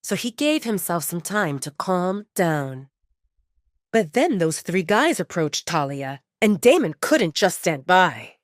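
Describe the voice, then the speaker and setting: Female romance audio drama narrator: lively, wide-ranging intonation, scripted storytelling, dry studio-like sound. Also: lowish voice, slow pace, dry recording.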